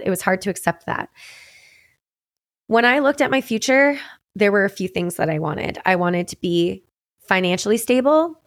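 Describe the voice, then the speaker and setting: A woman's voice: mid-range voice, dry recording. Earnest young woman podcaster, dry sound. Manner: earnest, reflective, conversational with frequent "um" and "like". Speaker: podcast host and singer. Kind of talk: candid personal podcast monologue.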